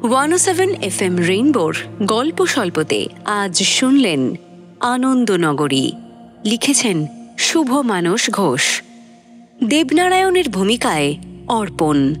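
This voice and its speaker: A woman's voice: mid-range voice, big pitch swings, takes it slowly, long pauses, faint music behind. A woman reading a scripted closing announcement as a radio programme presenter.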